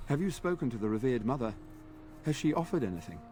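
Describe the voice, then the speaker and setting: Brisk knight captain, a man voicing him, formal, quick speech, game music behind. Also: medium pitch, very animated, mellow, natural voice. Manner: formal, crisp. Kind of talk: video game voice acting.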